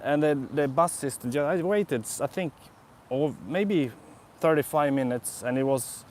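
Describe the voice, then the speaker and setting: A man's voice: a little high, unhurried, slight background noise. Swedish man rambling in a park. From Sweden. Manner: rambling, reflective, answers chat comments. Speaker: vlogger. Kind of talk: casual live-stream chat. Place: outdoor city park.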